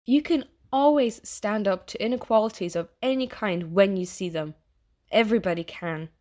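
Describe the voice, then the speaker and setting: A woman's voice: mid-range voice, moderate pace, mellow, lightly acted. Chummy, coaxing AI in your head played by a woman. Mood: earnest, pressuring. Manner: chummy, casual, coaxing, passive-aggressive. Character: chatty, falsely friendly AI living in someone's mind, quietly menacing. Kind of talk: audio theatre monologue addressed to the listener.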